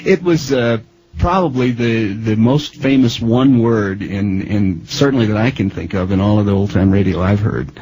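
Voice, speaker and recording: An American man interviewing as a radio host, with a halting, deliberate way of introducing things. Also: on the low side, mellow, highs cut off.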